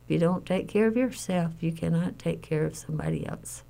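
A middle-aged woman recalling family caregiving in an interview, speaking plainly, haltingly and earnestly. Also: on the low side, vocal fry, mellow. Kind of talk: personal interview recollection.

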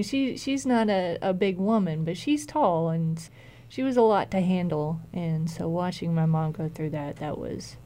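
A woman recalls events in an interview, speaking very slowly and haltingly and repeating words. Her voice is fairly low and softly mellow, in a conversational style. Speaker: interviewee, caregiver's daughter. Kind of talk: conversational recollection.

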